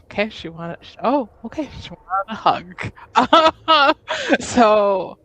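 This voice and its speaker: A woman roleplaying in a tabletop game, speaking earnestly and deliberately, with narrated asides. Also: on the high side, very animated, pushed and bright.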